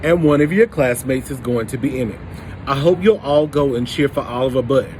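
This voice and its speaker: A man's voice: mid-range voice, brisk, a little mellow, slight background noise, natural voice. A man voicing a school teacher making an announcement to a class in a children's storybook. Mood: announcing.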